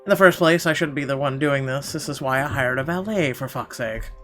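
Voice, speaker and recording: A man with a medium-pitched voice reads first-person story narration aloud. He delivers it theatrically and occasionally stumbles on a word, with light music underneath. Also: a little fast, fairly bright tone. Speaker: gaming video host. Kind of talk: dramatic reading aloud of visual novel narration. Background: game music.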